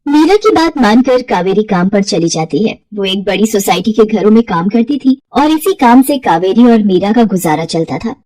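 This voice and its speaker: A woman's voice: medium pitch, lively intonation, normal speed, few pauses, mellow, dry recording, far from the mic, rough recording. A woman narrating scripted moral tales for cartoons in a storytelling style.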